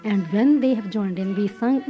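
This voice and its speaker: A woman's voice: medium pitch, moderate pace, muffled recording, faint music behind. A mature woman, a choir leader, explaining her group in an interview. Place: at home.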